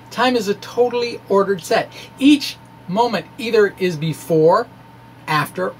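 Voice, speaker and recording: A man giving a dramatic reading of a science essay with ad-libbed asides, in a halting, ruminative manner. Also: sits high, a little hiss or hum, rough recording. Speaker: science blogger and presenter.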